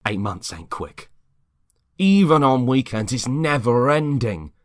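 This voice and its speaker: A man's voice: mid-range voice, animated, takes it slowly, no echo, clean studio sound, pitched above the performer's own voice. A man playing an exhausted, irate neighbour at breaking point in a dramatised story reading, complaining emphatically. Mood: frustrated.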